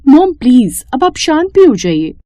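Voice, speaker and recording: A woman's voice: high voice, big pitch swings, moderate pace, very noisy, pitched above the performer's own voice. A woman voicing a sensible, down-to-earth seventeen-year-old city girl with a frank, pleading manner in a cartoon story.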